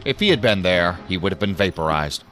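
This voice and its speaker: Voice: low-pitched, expressive, brisk, fairly bright tone. A man playing a grim, commanding federal agency director in a sci-fi audio drama, giving terse authoritative orders.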